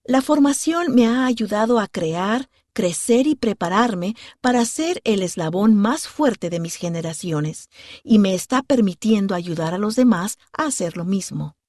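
A woman from Honduras reads her testimony in a calm, sincere, devotional manner. Her voice is mid-pitched and recorded close and dry, with no room sound around it. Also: a little slow, no echo. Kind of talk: scripted testimony narration.

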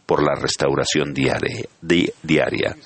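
A man giving a solemn, measured sermon as a church leader. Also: a bass voice, fairly even intonation, gravelly. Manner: measured, solemn devotional delivery.

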